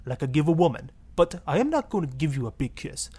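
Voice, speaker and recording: A man's voice: a little high, very animated, fast talker, mellow, slightly rough, heavily acted. A man voicing a suave, sleazy marriage guru with a Spanish accent, florid, seductive and pompous, in a satirical game radio style. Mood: passionate, wounded. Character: suave, sleazy Latin male marriage guru, grandly passionate.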